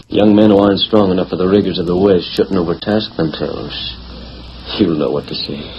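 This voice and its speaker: Menacing blackmailer, low voice, silky mockery, vintage radio western, muffled recording. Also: male voice, steady tone, brisk. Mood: coolly menacing. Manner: mocking, mock-genteel, coolly threatening. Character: smug, menacing male villain, a scheming blackmailer. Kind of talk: 1940s radio western acting. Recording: dull, faintly noisy 1940s radio recording.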